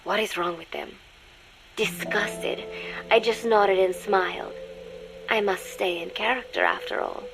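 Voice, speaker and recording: A woman's voice: mid-range voice, a little slow, natural voice, poor audio. Woman acting a coldly scornful young spy. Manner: composed, contemptuous diary narration. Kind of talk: dramatic monologue in game audio drama. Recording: music under the voice. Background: music.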